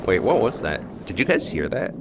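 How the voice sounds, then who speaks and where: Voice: sits low, sing-song, normal speed, gravelly, very noisy. A streamer reacting casually to a game, playful, breaking into singsong noises and little sound effects. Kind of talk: casual live reaction chat.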